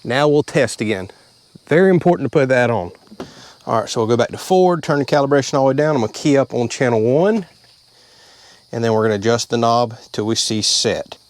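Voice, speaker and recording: A man's voice: mid-range voice, animated, brisk. A man, talking through a hands-on how-to in a casual, plain-spoken way from inside a vehicle. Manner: plain-spoken, step-by-step explaining. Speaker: channel host.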